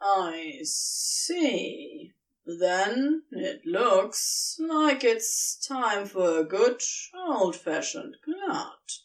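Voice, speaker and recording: A woman voicing an eager, affectionate serpent creature with a rough-edged colloquial drawl in a storybook reading. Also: mid-range voice, big pitch swings, drawn-out words, distant mic. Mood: gleeful.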